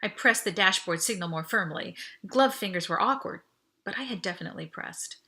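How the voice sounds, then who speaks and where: A woman reading a first-person story aloud as an expressive audiobook narrator. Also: mid-range voice, a little fast. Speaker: audiobook reader.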